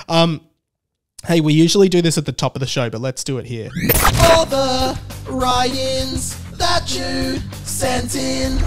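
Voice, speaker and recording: A young man with an Australian accent speaks in a fairly high register. He hosts a podcast in a loose, chatty style, pushing his opinions with animated emphasis and repeating points for effect. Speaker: podcast host. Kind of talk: casual solo podcast rant.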